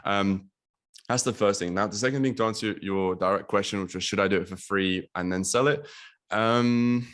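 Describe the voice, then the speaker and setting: A young man from Latvia talks loosely over a video call, his voice low. He fills his speech with ums and likes and swears casually. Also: normal speed, a bit bright. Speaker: guest business coach.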